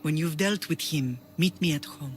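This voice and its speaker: A woman playing a wise, protective middle-aged Spartan mother in a video game, measured and firm. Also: low-pitched, a little hiss or hum, close to an everyday voice. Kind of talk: dramatic video game acting.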